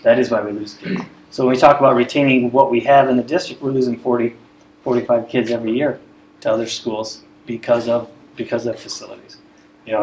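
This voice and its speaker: A man's voice: mid-range voice, darkish tone. Earnest guy speaking up at a school meeting, no mic, echoey room, faint hum behind. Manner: earnest, repeats words as he builds points. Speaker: school athletics staff member. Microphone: speaking without a microphone.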